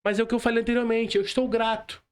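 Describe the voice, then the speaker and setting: High-pitched young Brazilian man, emphatic. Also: little pitch movement, fast, smooth. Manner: emphatic, slangy, addresses the listener directly. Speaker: podcast speaker. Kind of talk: motivational casual podcast chat.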